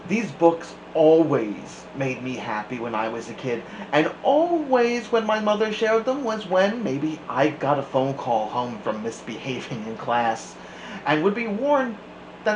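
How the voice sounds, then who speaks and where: A man hosting a children's story time, reading aloud and chatting expressively. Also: mid-range voice. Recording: echoey room, faint background noise.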